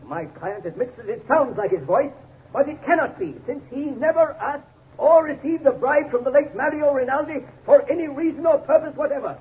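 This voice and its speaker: An actor playing a forceful defense attorney, formal and emphatic, in 1930s radio style. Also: male voice, very high voice, normal speed. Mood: earnest protest. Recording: tinny, noisy 1930s radio recording.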